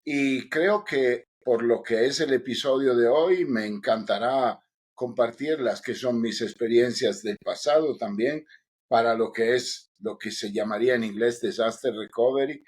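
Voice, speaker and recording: A man's voice: medium pitch, unhurried, a little mellow, slightly rough. A middle-aged man with an Italian accent, co-hosting a podcast in an easygoing, joking, rambling way. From Italy. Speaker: podcast co-host. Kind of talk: casual podcast chat.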